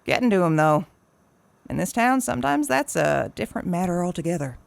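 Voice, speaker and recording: A woman's voice: on the low side, big pitch swings, a little fast, vocal fry, exaggerated voice. An actress playing an elderly, folksy small-town woman, teasing and sardonic, in an audio drama. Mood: sly, casual. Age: older. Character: sly, folksy, gun-toting elderly desert townswoman.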